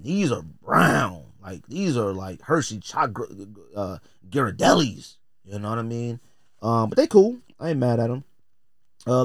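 Podcast host: American man, slangy and opinionated, playful and blunt, highly expressive pitch, dry close recording. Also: dry recording. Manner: slangy, blunt, joking, theatrical delivery. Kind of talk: casual opinionated podcast commentary.

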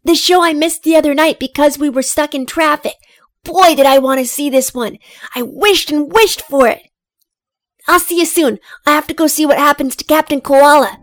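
A woman's voice: high-pitched, normal speed, no room sound, clean studio sound, close to an everyday voice. A woman playing an earnest, innocent seven-year-old boy in an audio drama. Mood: excited, eager. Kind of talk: audio drama acting and first-person narration.

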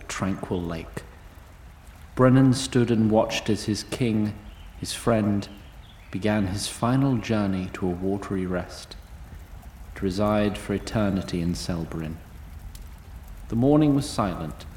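A man narrating a scripted fantasy audio drama in a solemn, deliberate manner. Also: on the low side, darkish tone, echoey room, slight background noise.

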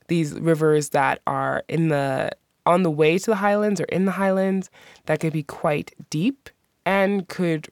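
Low-voiced woman giving travel tips. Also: darkish tone, dry recording, clean studio sound. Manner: friendly, practical advice-giving. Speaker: podcast host. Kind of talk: informative travel podcast. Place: lives in the country she describes.

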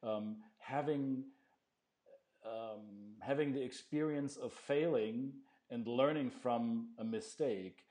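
A man hosting an interview podcast, speaking in a measured, thoughtful way and reflecting back what his guest says. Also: mid-range voice, warm tone. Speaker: podcast host.

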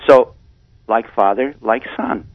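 A man phoning in to a radio show, giving casual, rambling scripture commentary in a halting, hesitant way. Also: mid-range voice, dry recording. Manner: hesitant, halting delivery with repeated pauses. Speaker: phone-in caller. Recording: dull phone line on radio.

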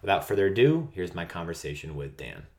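Deep-voiced devout podcast host. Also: male voice, sing-song, noisy background. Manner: halting, many fillers and restarts, devout. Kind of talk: podcast host intro and interview.

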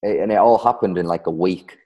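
A man's voice: sits low, a little fast, dark tone, dull audio. A man with a broad Geordie accent, a guest on an interview, speaking modestly and laughing often. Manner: modest, laughs often, self-described shy. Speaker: guest, video creator. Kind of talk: conversational interview. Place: living in southern Spain.

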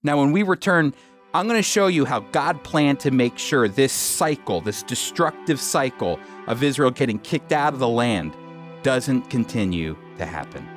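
A man's voice: mid-range voice, expressive, normal speed, soft background music. A man teaching the Bible on a radio program, emphatic, with rhetorical asides to his listeners.

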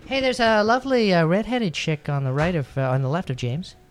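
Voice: mid-range voice, a little fast, a little hiss or hum. A podcast host bantering casually with a group in a joking, teasing way.

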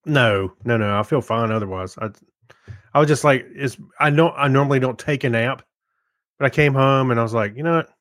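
Man, average pitch, casual podcast chat, halting rambling storyteller, dry sound. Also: a bit bright, no echo. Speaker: podcast co-host.

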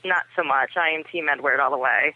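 A woman's voice: low voice, a little fast, slightly rough. A woman giving emphatic opinions as a fan reviewer in a casual podcast discussion. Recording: tinny phone line, dry.

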